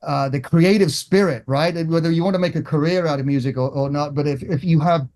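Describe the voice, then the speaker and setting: A middle-aged man from England, a guest guitarist, talking in a casual interview with many restarts and pauses. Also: a little high, darkish tone. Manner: halting, many restarts and fillers.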